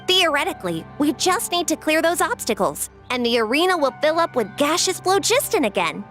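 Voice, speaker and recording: A woman's voice: high-pitched, sing-song, brisk, a bit bright, soft background music. A woman voicing a chipper young female staffer in a video game. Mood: explanatory. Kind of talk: video game character dialogue.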